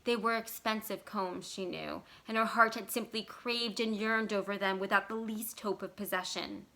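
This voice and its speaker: A woman reading a short story aloud as scripted narration, with expressive, deliberate and dramatic phrasing. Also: medium pitch. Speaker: library staff storyteller.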